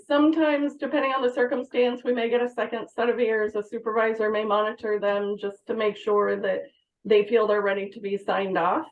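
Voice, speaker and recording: A woman's voice: mid-range voice, dull audio. A woman guest answering interview questions, explaining how things work at her workplace in a conversational way. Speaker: podcast guest, fire dispatch manager.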